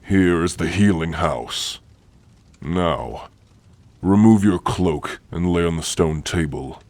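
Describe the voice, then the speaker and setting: A man voicing a stern, gruffly caring orc warrior-healer in an ASMR roleplay, speaking in a formal, commanding way. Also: deep voice, takes it slowly, a little mellow, dry recording. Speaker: roleplay voice actor.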